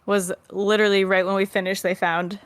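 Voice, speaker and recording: A woman's voice: medium pitch, level tone, brisk, clear, resonant tone, no room sound. A woman co-hosting a podcast, chatting casually and reading through case notes aloud. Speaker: podcast co-host.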